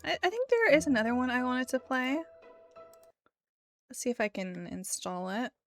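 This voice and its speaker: A woman livestreamer chatting casually with her viewers in a playful, giggly, expressive way. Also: high voice, normal speed, dry recording, faint music behind. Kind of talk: casual chat with stream viewers.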